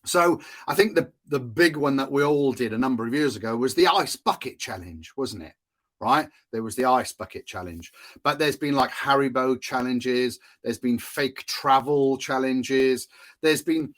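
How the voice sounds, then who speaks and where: A man's voice: mid-range voice, fairly bright tone. A Welsh man hosting a solo podcast on marketing, talking in a chatty, self-amused way with frequent fillers and little laughs. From Wales. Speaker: podcast host, marketing consultant.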